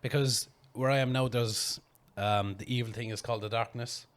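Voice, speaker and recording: A woman's voice: extremely low pitch, steady tone, moderate pace. A middle-aged Irish woman co-hosting a podcast, casual and crude, telling jokey stories. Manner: crude, joking storyteller. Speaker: podcast co-host. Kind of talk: casual chat.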